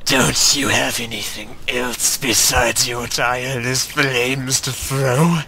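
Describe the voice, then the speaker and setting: Woman putting on a slow, low-pitched arrogant male villain. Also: steady tone, gravelly, pushed and bright, heavily acted. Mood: bored, taunting. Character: arrogant, taunting male vampire warrior villain.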